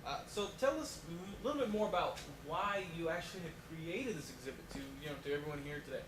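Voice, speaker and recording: A man's voice: on the high side, lively intonation, fast, natural voice. A man playing a friendly, polite talk show host in a homemade mock interview, chatty and hesitant, on echoey, noisy audio. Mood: polite, welcoming.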